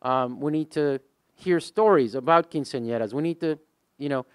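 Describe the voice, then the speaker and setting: A man's voice: mid-range voice, normal speed, clear, resonant tone, no echo, studio quality. A man in his forties with a Texan accent, a native Spanish speaker, talking on a panel as a poet and English teacher, rambling with many fillers and restarts, into a handheld mic in a museum meeting room. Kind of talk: casual panel talk.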